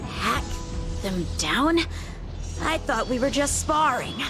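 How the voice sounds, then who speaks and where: A woman's voice: high-pitched, lively intonation, takes it slowly. A woman voicing a confident young male sword prodigy in a video game, speaking earnestly and formally with composure. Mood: hesitant. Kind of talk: dramatic video game voice acting. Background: music.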